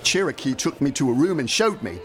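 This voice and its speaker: An actor playing an excitable, folksy frontier mail rider spinning colorful gossip in a radio drama. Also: male voice, mid-range voice, close to an everyday voice. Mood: gleeful, gossipy. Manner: folksy, colorful drawn-out storytelling. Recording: faint music behind.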